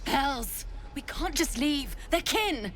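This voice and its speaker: Fiery young adventuress, indignant and high. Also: female voice, expressive, takes it slowly, music in the background. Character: hot-headed young female adventurer.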